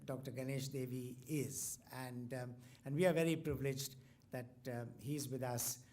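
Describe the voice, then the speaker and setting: A man's voice: mid-range voice, fairly even intonation, moderate pace, a little mellow. Hesitant Indian man introducing a speaker. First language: Tamil. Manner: hesitant, full of ums and restarts. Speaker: university host introducing a lecturer. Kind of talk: formal speech with many fillers.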